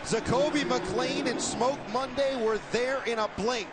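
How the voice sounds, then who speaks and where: A man's voice: very high-pitched, fairly even intonation, a little slow, heavy background noise. A man calling live college football play-by-play from a stadium booth, with a strong, projecting voice that lifts on big plays. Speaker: play-by-play announcer.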